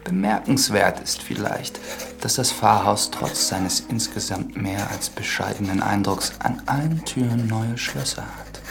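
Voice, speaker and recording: An actor playing a dutiful, observant priest in a radio drama, formal and measured, as if setting down notes. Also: male voice, lowish voice, gravelly, over music. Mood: sober, observant. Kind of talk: German radio drama acting, journal narration.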